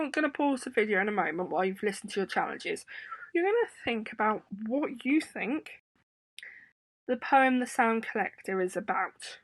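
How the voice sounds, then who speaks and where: Croaky woman teaching young kids. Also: fairly high pitch, dry recording. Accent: English. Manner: gentle, encouraging, with many ums. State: hoarse with a sore throat. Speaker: primary school teacher. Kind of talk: remote lesson to children. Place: home room indoors. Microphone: laptop webcam.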